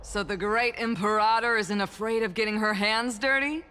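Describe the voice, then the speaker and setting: A woman playing a cold, defiant high-ranking noblewoman in a video game, disdainful and biting. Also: steady tone, moderate pace, pushed and bright, clean tone, natural voice. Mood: cold contempt.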